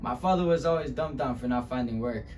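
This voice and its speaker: A man playing a wounded young Mexican-American man in a dramatic monologue about a hard childhood, speaking haltingly with long pauses. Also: medium pitch, a little mellow, slight background noise, lightly acted. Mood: pained, bitter. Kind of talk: dramatic interpretation monologue, speech-competition acting.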